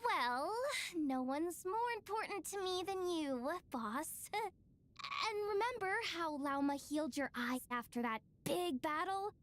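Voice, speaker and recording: A woman's voice: high-pitched, animated, a little slow. A woman playing an eager, loyal young employee in a video game, hesitant and anxious to please. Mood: wheedling. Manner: hesitant, wheedling.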